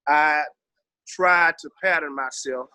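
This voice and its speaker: Bright-voiced, emphatic Southern American man. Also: on the high side, takes it slowly, dry recording, rough recording. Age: middle-aged. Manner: emphatic, streetwise and slangy, with frequent swearing. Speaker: interview guest, veteran rapper. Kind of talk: casual interview reminiscing.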